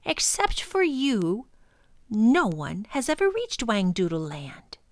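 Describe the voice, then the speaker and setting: Storybook creature, male, gaunt and ill-tempered, played by a woman: raspy, drawn-out, scornful, pitched up from the reader's usual. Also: heavily acted. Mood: rude, scornful. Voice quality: raspy, stretched, echoing whisper. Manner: rude, indignant, scornful. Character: tall thin sinister creature, rude, bad-tempered, grumbling male.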